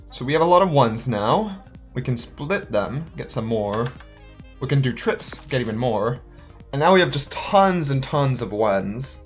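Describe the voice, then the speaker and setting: Chatty man narrating his game. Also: mid-range voice. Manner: chatty, thinks aloud, counts dice under his breath. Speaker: gaming channel host. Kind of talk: let's play commentary.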